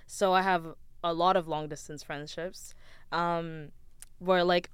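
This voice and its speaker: Low-voiced young woman, very clear and resonant. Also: fairly even intonation, dry recording. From Ethiopia. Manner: measured, with small hesitations and "um". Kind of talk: casual group chat.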